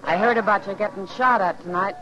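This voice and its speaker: A woman's voice: medium pitch, a little fast, music in the background, natural voice. An actress playing a sardonic but caring frontier saloon woman in a 1950s radio western. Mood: concerned, wry. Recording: dull 1950s radio recording.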